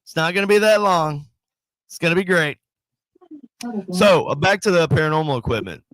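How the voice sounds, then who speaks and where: A man's voice: a little high, moderate pace, no echo. Nasal, congested man rambling on a podcast. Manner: rambling, joking, animated shout-outs to viewers. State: congested from a sinus cold. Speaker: podcast co-host. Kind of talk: casual chat.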